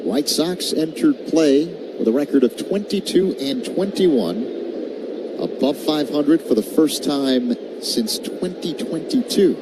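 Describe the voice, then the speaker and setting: A man's voice: fairly high pitch, lively intonation, slow. An American man calling baseball play-by-play live on the radio. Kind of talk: live radio sports commentary. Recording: radio broadcast with loud stadium noise. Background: loud ballpark ambience.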